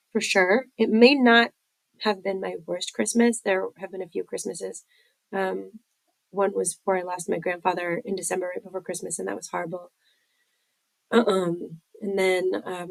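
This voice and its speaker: A woman's voice: mid-range voice, vocal fry, no room sound, low-quality recording. A woman hosting a personal recovery podcast, congested from a head cold, talking candidly with many fillers. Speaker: podcast host.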